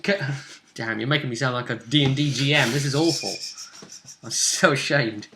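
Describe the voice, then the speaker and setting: A man's voice: medium pitch, moderate pace, background noise. A British man talking casually and animatedly as game master, recapping a tabletop role-playing session and acting out little asides. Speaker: game master, host of a tabletop RPG vidcast.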